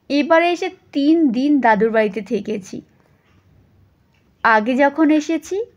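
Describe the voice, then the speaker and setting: A woman reading a first-person story as scripted audio narration. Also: fairly high pitch.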